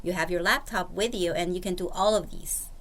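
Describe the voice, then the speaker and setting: A woman from Beijing whose first language is Mandarin speaks English with a Chinese accent and a somewhat low voice. She talks plainly and practically, often restarting her sentences, as a guest on a college radio interview. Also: brisk, fairly bright tone. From Beijing, China. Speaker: director of distance learning, guest.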